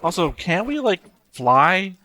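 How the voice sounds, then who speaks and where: A man's voice: high-pitched, sing-song, takes it slowly, pushed and bright. A man giving casual, blunt reaction commentary as the host of a video.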